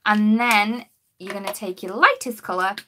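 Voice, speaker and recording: A woman, her voice a bit croaky, demonstrating coloring with markers in a chatty live-stream lesson. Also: medium pitch, moderate pace, pushed and bright, a little hiss or hum. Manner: chatty, talks while working, greets viewers. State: slightly croaky voice.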